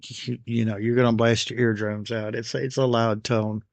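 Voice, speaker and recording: An American man talks through a product review off the cuff. His voice is middling in pitch with a lot of gravelly creak. He pauses often between phrases, and the recording is dry, with little room sound. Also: flat delivery, dry recording. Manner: halting, pausing between phrases. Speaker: radio gear reviewer. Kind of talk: unscripted product review voice-over.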